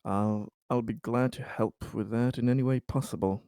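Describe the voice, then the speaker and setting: A man playing a tabletop game, talking casually and in character in a deliberate, halting way. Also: medium pitch, steady tone, warm tone, no room sound, clean studio sound. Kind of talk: casual in-game chat and role-play.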